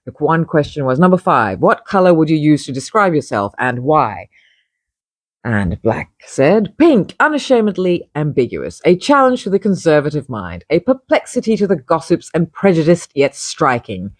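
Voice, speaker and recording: A woman's voice: low-pitched, very animated, moderate pace, no room sound, clean studio sound. A woman reading her own article aloud, breaking off into wry, chatty, opinionated asides and interrupting herself as she goes. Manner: digressive, wry, self-interrupting. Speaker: magazine publisher reading own feature article.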